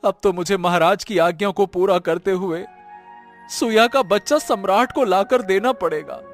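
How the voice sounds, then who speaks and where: Man acting distressed cartoon villager husband, very high pitch, scolding, fearful, dramatic lament, faint music behind. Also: moderate pace. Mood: anguished, fearful. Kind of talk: Hindi moral-story cartoon acting.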